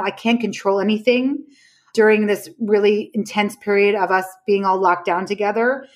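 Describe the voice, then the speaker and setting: A woman explaining her ideas conversationally as a podcast guest, telling anecdotes emphatically and acting out reported dialogue. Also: mid-range voice.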